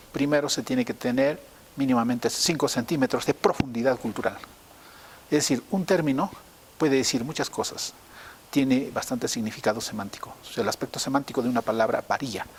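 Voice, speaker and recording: A man's voice: mid-range voice, husky. A man giving an explanatory interview in a didactic way, rephrasing his points step by step. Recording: noticeable hiss behind the voice.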